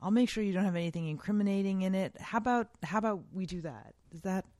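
An actress playing an evasive wife who placates a suspicious spouse in a scripted drama. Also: female voice, medium pitch, quick pace, dark tone, no echo, studio quality, natural voice. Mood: placating, coaxing.

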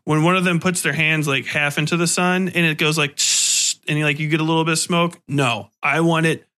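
Man, podcast host, fairly high pitch, sharp bright tone, rambling, animated, casual, profane. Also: brisk. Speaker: podcast host interviewing an author. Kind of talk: casual chat.

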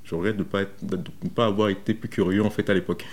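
A man in his thirties from Benin recounts his career informally, speaking in a halting way with frequent repetitions. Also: sits low, fairly even intonation. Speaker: software developer interviewed about his career. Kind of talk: informal first-person career account.

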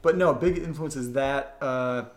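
A man with an average-pitched voice hosts an informal question session. He reads questions out and answers in short, conversational pieces as a writer. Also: unhurried. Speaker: audio drama writer, co-host reading listener questions. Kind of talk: casual Q&A chat.

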